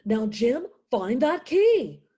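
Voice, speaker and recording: Husky, animated, stubborn widow acted by a woman. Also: high voice, slow, exaggerated voice. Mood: urgent, bossy. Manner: indignant, insistent, dramatic. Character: stubborn, indignant poor widowed mother of a teenage boy. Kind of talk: theatrical radio play acting.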